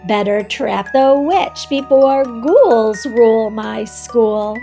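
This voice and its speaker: A woman reading a children's storybook aloud as host, animated and instructive, speaking directly to young listeners. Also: a little high, slow pace, soft background music.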